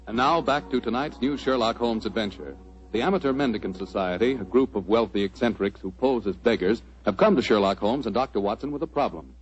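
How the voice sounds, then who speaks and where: A man's voice: lowish voice, brisk. A man announcing in 1940s radio style, reading sponsor copy and scripted narration with a salesmanlike delivery. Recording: muffled vintage 1940s radio recording.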